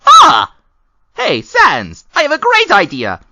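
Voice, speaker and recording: A woman voicing a vain, excitable, theatrical male skeleton in a comic dub, with grand declamatory delivery. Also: high-pitched, slow pace, vocal fry, very noisy, heavily acted. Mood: eager. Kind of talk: animated comic dub acting.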